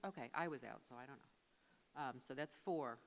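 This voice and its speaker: A woman's voice: a bass voice, normal speed. A woman chairing a school board meeting, running the roll call and votes in a casual, hesitant way with many fillers. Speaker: board of education president.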